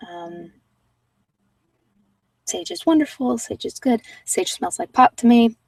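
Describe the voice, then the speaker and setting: Female ASMR meditation host, casual and rambling, soft-spoken with near-whispered moments, dry sound, slightly off mic. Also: a little high, very animated, takes it slowly, creaky, breathy edge, no echo, far from the mic. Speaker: host of guided meditation sessions.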